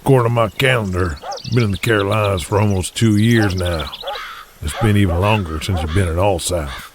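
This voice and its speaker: An actor playing a gruff, shrewd wrestling promoter from Texas in an audio drama, with a folksy, deliberate way of speechifying. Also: male voice, fairly low pitch, noisy background, natural voice. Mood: firm, persuasive.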